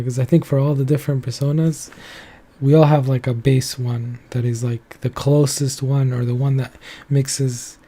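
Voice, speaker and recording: A young man talks alone in a casual, meandering way and keeps leaning on filler words. His voice is very dark and mellow and his pitch stays flat, sitting in an ordinary middle register. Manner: rambling, loose, heavy on filler words. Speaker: commentary channel host. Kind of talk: casual solo commentary.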